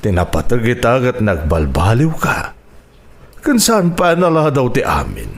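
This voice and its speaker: A Filipino man playing a gentle, forgiving middle-aged landowner and father in a radio drama, with slow, emotive delivery. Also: medium pitch, wildly swooping pitch. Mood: affectionate.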